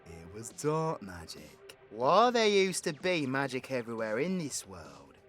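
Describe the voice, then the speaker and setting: Animated, cocky British supervillain played by a man. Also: mid-range voice, measured pace, soft background music. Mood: bitter, dramatic. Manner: cheeky, sweary, colloquial, theatrical swings into exclamation. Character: cocky, foul-mouthed, sarcastic British male supervillain telling history. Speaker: audio roleplay voice actor. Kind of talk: villain audio roleplay, rambling comedic storytelling.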